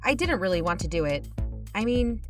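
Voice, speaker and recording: A woman's voice: medium pitch, very animated, a little fast, faint music behind, lightly acted. A woman playing a reluctant, sardonic younger sister who hosts an investigative podcast in an audio drama, talking casually. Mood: narrating, uneasy. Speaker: podcast host character. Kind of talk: conversational audio fiction acting.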